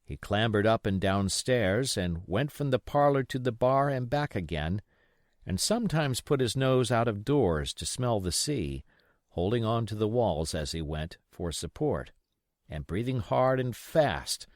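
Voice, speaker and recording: A man's voice: lowish voice, animated, measured pace, no room sound, clean studio sound. A man reading a classic adventure novel aloud as scripted literary narration. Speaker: audiobook reader.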